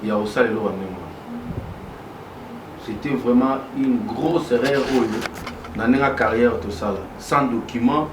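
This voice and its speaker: Halting man, far and echoey. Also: mid-range voice. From DR Congo. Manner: halting, mixes French and Lingala. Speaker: guest. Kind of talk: casual interview talk. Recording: echoey room, far mic, noisy.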